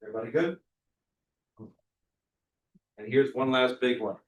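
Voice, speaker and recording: A man working as a prosecutor, questioning a jury panel in a quick, conversational way. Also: medium pitch, muffled recording, a little hiss or hum. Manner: fast, conversational, explains with examples. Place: large courtroom. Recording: echoey courtroom from far off.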